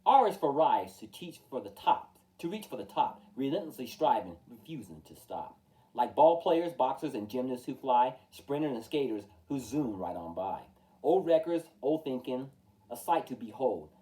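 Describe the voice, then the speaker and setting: Expressive male storyteller, very animated pitch. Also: moderate pace. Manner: rhythmic, emphatic delivery of rhyming verse. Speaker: storytime reader. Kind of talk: expressive children's picture-book read-aloud. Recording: distant from the mic.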